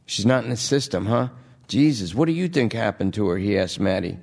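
A man's voice: lowish voice, expressive, brisk, creaky, darkish tone, slightly rough, heavily acted. A man voicing a jittery, wheedling male junkie under arrest who pleads and rambles. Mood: agitated.

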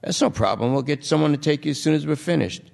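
A blunt male police detective, as a reader puts him on, coaxing in a dry, persuasive way. His voice is set a little higher than the reader's own and has a gravelly edge. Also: quick pace, darkish tone.

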